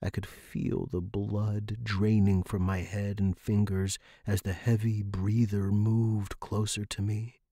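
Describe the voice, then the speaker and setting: A man's voice: lowish voice, level tone, slow, hardly any pauses, mellow, no echo, studio quality. A man hosting a fiction podcast and narrating scripted horror in a dramatic style.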